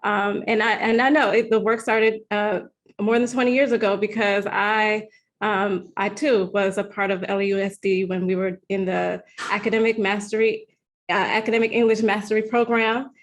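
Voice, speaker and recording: A woman's voice: medium pitch, flat delivery, normal speed, a little hiss or hum. American woman moderating a webinar. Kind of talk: conversational panel moderation.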